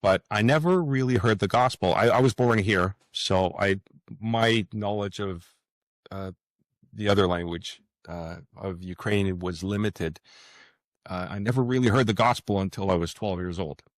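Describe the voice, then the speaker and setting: Very deep-voiced, hesitant man in conversation. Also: no echo. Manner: hesitant, halting, with frequent pauses and repeated words. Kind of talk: casual interview conversation.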